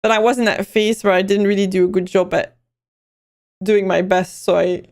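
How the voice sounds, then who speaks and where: A woman's voice: mid-range voice, fairly even intonation, normal speed, a little mellow. A young Belgian woman, chatting casually on a livestream, rambling and answering chat messages as they come in. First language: Dutch. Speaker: livestream host.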